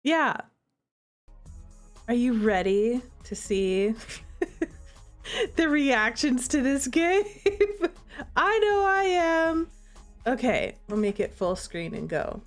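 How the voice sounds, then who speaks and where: A woman with a fairly high voice chats casually as she streams. She is expressive and giggly, talking through her own game like a developer sharing notes. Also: extremely slow, long pauses, faint music behind.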